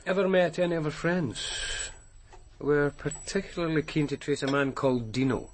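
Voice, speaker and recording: Actor as blunt, probing police detective. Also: male voice, a little high, moderate pace, natural voice. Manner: probing, dry, unflinching. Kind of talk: naturalistic radio drama acting.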